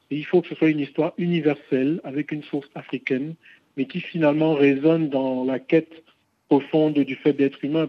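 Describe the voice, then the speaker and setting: A fairly high-voiced man speaking in a dry, close studio sound with a slightly muffled, lo-fi tone. He talks reflectively, building long explanations step by step as a guest on a radio discussion. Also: dry recording. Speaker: game studio founder.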